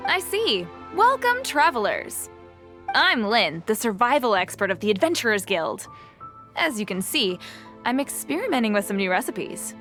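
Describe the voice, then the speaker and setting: High-voiced, confident survival expert. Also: female voice, sing-song, moderate pace, fairly bright tone, faint music behind. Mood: confident, welcoming. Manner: self-assured, instructive. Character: confident, friendly young female wilderness survival expert. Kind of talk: English video game character acting.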